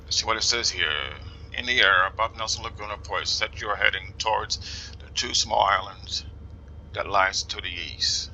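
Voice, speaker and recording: A man's voice: on the low side, steady tone, bright, forceful tone, lo-fi sound, slight background noise. A man with a New Jersey accent giving casual commentary while playing a flight sim, musing aloud and slipping into whispers. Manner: musing aloud, reading instructions slowly, often drops to a whisper.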